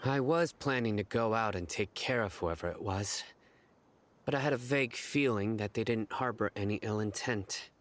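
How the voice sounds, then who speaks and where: A man playing a stern, vigilant investigator in an anime game dub, speaking seriously, formally and deliberately. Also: lowish voice, slight background noise, lightly acted. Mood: probing. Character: stern, vigilant young male investigator, cool and measured.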